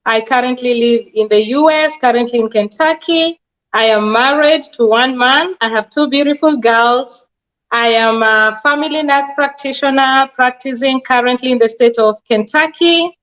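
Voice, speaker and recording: A woman's voice: high-pitched, moderate pace, a bit bright. A woman in her forties from Kenya with a Kenyan accent, a nurse practitioner answering interview questions earnestly with many fillers. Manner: earnest, explanatory, with frequent fillers. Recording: dull lo-fi sound.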